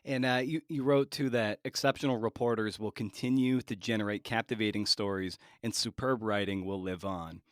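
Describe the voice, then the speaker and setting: A man interviewing as a podcast host in a casual, halting way, rambling as he thinks aloud. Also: lowish voice, steady tone, a bit bright, dry recording, studio quality.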